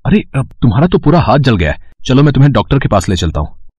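Man playing a lively, quick-talking young husband. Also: mid-range voice, breathy edge, no echo, highs cut off. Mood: concerned. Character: caring, trusting young husband and dutiful son. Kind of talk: animated moral story dialogue.

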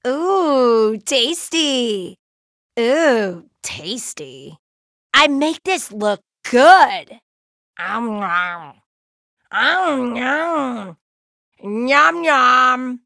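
Brash, high-pitched cartoon gem warrior girl. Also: big pitch swings, drawn-out words, gravelly, fairly bright tone, dry recording, clean studio sound, heavily acted. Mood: cheeky. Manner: cheeky, slangy, exclamatory. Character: brash, playful, laid-back young female gem warrior. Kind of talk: cartoon video game voice acting, short barks.

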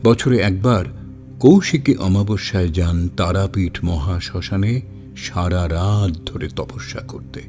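A man's voice: deep voice, slow pace, darkish tone. An actor playing a man who narrates a horror story in the first person, as one recalling his friends. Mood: storytelling. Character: male friend recounting a fateful ghost story. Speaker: narrator and character in audio drama. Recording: long reverb, music behind.

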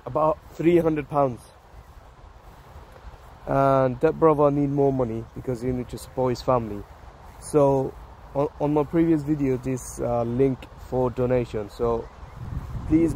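A slightly hoarse man in his thirties, vlogging casually on a phone in an open park, talking haltingly with many fillers and restarts. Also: mid-range voice, warm tone, low-quality recording. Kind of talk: casual selfie vlog. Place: open grassy park outdoors. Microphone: phone held at arm's length. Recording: outdoor phone audio with wind and traffic noise.

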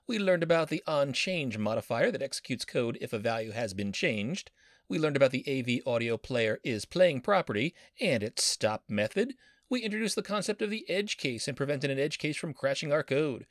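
A man's voice: medium pitch, expressive, normal speed, no echo. Guy professor walking through code, bouncy sing-song voice, super dry close mic, jokey and upbeat. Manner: playful, enthusiastic, pop-culture jokes. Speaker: university professor teaching coding. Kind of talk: screen-recorded coding lesson.

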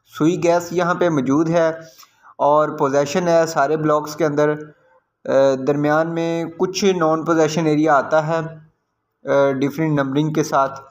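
A man's voice: fairly high pitch, fairly even intonation, measured pace. A man with a Pakistani accent giving informal, explanatory commentary on a property site visit as a real estate video host.

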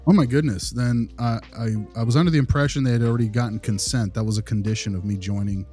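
Mellow man, politely explaining. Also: medium pitch, fairly even intonation, fast, slightly rough, faint music behind. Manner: polite, explanatory, hesitant phrasing. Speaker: behavior analyst, podcast host. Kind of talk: improvised role-play phone conversation.

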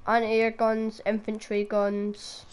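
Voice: mid-range voice, completely flat delivery, takes it slowly, slight background noise. A young gamer with a sore throat, chatting casually while playing a shooter game, exclaiming at game events. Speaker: gamer streaming gameplay. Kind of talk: casual gameplay chat. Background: game gunfire and ambience.

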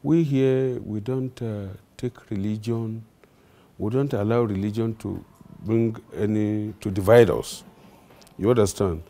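A Nigerian man, a traditional ruler, answering interview questions in a halting way with many fillers. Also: lowish voice, fairly even intonation, mellow.

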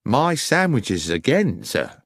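A man's voice: mid-range voice, wildly swooping pitch, slow pace, dry recording, clean studio sound, voice pushed up, full character voice. A man voicing a weary railway guard in a detective audiobook, respectful and earnest as he protests his honesty. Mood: deferential, uneasy. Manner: deferential, earnest. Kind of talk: dramatised audiobook detective story.

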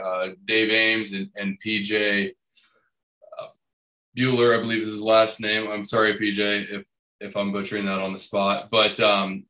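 A young man answering interview questions over a video call, halting with restarts and some light joking. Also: on the low side, sharp tone. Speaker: boat builder guest. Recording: roomy video call audio.

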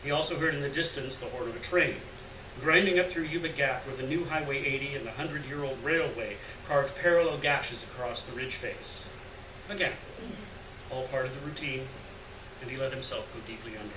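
A man's voice: medium pitch, a little fast. A middle-aged man giving a live literary reading of scripted story narration in a gallery room. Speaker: story reader. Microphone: stand mic at lectern, picked up by far camera. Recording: roomy, distant mic, noticeable noise.

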